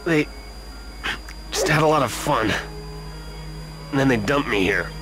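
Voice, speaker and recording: A man playing a badly wounded, dying man in a video game, speaking in weak, halting broken phrases. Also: mid-range voice, expressive, music in the background, close to an everyday voice. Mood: pained. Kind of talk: video game cutscene acting.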